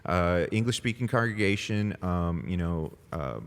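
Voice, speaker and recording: A man's voice: low voice, expressive, unhurried, smooth. A man in his forties, a Spanish native speaker from El Salvador, chatting easily as a pastor guest on a podcast, with many fillers and laughing asides. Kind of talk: casual interview conversation. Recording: long reverb, echoey room.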